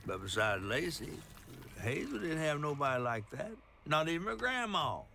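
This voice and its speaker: A man voicing a sly talking catfish in a video game, telling stories in a folksy, gravelly way. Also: on the high side, big pitch swings, moderate pace, bright, forceful tone, a little hiss or hum, heavily acted. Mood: wry, reminiscing. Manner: folksy, drawling storytelling.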